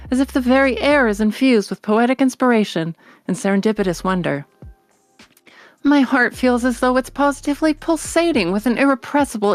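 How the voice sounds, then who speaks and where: A woman's voice: a little high, no echo. A woman reading aloud in an effusive, theatrical style on a group livestream. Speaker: stream participant reading aloud. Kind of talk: expressive dramatic reading.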